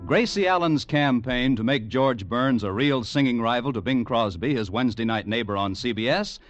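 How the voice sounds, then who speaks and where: A man's voice: medium pitch, moderate pace, soft background music. A man with an American accent reading promotional announcements in a mid-century radio style. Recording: dull vintage radio recording.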